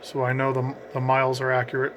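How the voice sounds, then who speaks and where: A man's voice: medium pitch, completely flat delivery, quick pace, very noisy. A man giving casual, explanatory commentary as he narrates a radio range test.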